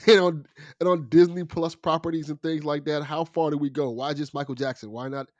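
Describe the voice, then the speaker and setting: A man's voice: fairly high pitch, fairly even intonation, a little fast, darkish tone, no room sound, lo-fi sound. A man talking casually as a guest on a podcast, discussing and explaining things conversationally.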